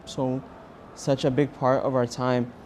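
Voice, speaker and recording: A young man with an American accent giving a personal testimony in an earnest, halting way with pauses. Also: medium pitch, level tone, a little mellow, a little hiss or hum. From California, United States. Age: young adult. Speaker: university student interviewed as a pilgrim.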